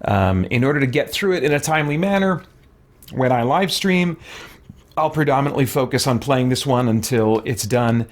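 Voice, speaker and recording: A man's voice: mid-range voice, expressive, moderate pace. A middle-aged man giving casual, conversational let's play commentary as a gaming streamer, rambling and thinking aloud.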